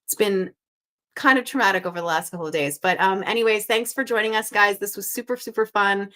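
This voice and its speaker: A woman's voice: mid-range voice, a little fast, pushed and bright. A woman from Canada hosting a live crossword stream, reading out viewer guesses in an upbeat, chatty way and thinking aloud. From Canada (Montreal).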